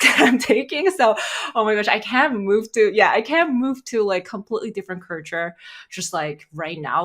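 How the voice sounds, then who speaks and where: A woman's voice: sits low, a bit bright. A woman in her thirties from South Korea, a career expert guest who talks eagerly and is full of "like" and "you know". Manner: enthusiastic, many fillers like "like" and "you know". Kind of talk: casual interview talk.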